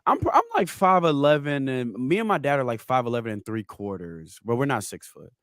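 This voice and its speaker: Lively thirties man, dry close sound. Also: medium pitch, brisk, clean tone, dry recording. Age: 30s. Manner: animated, laughing, joking. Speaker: podcast host. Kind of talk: casual sports chat.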